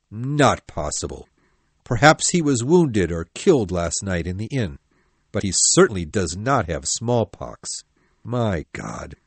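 A man playing a stern, proud, hot-tempered older captain of musketeers in an audiobook, with a forceful, commanding manner. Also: lowish voice, no room sound, heavily acted. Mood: indignant. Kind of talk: audiobook dialogue acting.

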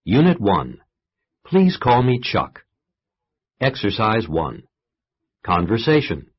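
A low-voiced man reads lesson instructions slowly and formally, with a husky tone and wide pitch swings. The tape sound is slightly dull and lo-fi. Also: wildly swooping pitch. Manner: measured, formal announcing. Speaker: exercise announcer. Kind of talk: scripted instructional narration. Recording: muffled lo-fi tape.

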